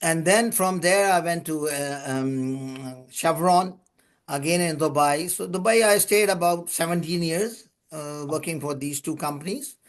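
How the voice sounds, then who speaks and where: An older man from Pakistan, an English speaker, telling long stories of his career in a relaxed way with small chuckles and restarted words. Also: on the high side, measured pace. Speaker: guest, lubrication consultant. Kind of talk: podcast interview storytelling.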